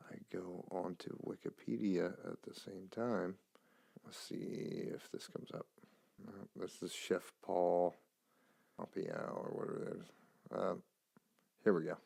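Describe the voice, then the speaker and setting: A man with a low, nasal voice hosts his podcast in a loose, meandering ramble full of casual swearing. His tone is gravelly yet smooth and resonant underneath. The recording is dry and close, with no room sound. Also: dry recording. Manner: loose and profane, meandering asides. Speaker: podcast host.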